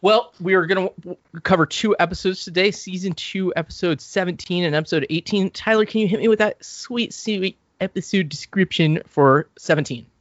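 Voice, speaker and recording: A man's voice: sits high, brisk, no room sound, muffled recording. A man hosting a podcast, recapping TV in casual, rambling, profane banter. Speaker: podcast host.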